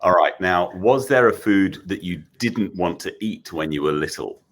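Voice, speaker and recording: A man's voice: sits low, lively intonation, normal speed, a bit bright. A man hosting a casual interview for children, asking the questions.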